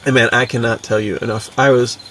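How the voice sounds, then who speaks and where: A man with a gravelly, creaky voice, talking as a podcast co-host in a casual, enthusiastic, slangy way. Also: medium pitch, little pitch movement, a little fast, a bit bright, recorded from a distance, a little hiss or hum, low-quality recording.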